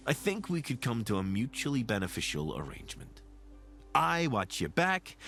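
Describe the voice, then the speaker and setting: Man acting a lively, chatty wasteland scavenger. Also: medium pitch. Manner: casual, wry, eager, chatty. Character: affable, curious male wasteland scavenger offering to team up. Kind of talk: video game companion dialogue.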